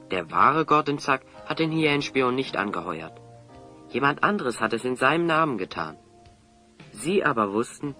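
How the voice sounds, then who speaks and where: A man's voice: medium pitch, sharp tone. A man acting a clever, confident hero in an audio drama, articulate and assertive as he reasons things out. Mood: firm, reasoning. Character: clever, confident male hero explaining a scheme.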